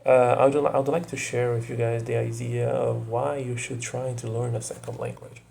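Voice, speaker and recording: A young Brazilian man, native Portuguese speaker, talking casually to camera in English with a Brazilian accent, often pausing to search for words and adding "you know". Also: medium pitch, little pitch movement, vocal fry, a little mellow, a little hiss or hum. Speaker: video creator.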